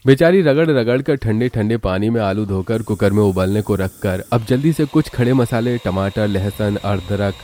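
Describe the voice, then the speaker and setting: Low, mellow male storyteller. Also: animated, moderate pace, few pauses. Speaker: narrator. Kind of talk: scripted storytelling narration for a cartoon.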